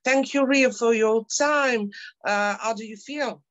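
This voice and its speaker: A native French-speaking woman with a French accent, politely and haltingly asking questions as a journalist. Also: on the high side, no echo, lo-fi sound. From France. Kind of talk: press-call questioning.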